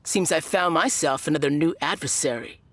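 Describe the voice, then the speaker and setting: A woman's voice: low voice, brisk, dry recording. A woman voicing a smug, boastful tournament contestant in a video game who is sure of her own perfection. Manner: boastful, self-satisfied.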